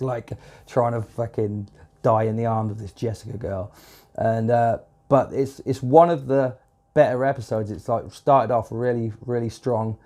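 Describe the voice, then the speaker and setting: A man's voice: mid-range voice, dark tone. Stuffy-nosed man rambling through a review. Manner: rambling, many fillers and casual swearing. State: stuffed up from a cold. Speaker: video reviewer. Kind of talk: casual spoken episode review.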